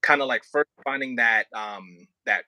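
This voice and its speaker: A man leading a voice warm-up as a coach, explaining casually and haltingly with plenty of filler words. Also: medium pitch, low-quality recording. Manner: informal, halting explanations with many "like" and "kinda" hedges. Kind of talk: casual hands-on coaching over a video call.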